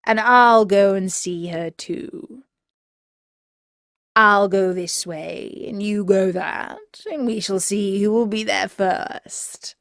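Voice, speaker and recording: A woman voicing a sly, hungry storybook wolf with a drawn-out, wheedling, falsely sweet manner. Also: medium pitch, no room sound, studio quality. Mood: sly, scheming. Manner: drawn-out, wheedling, faking a softer voice.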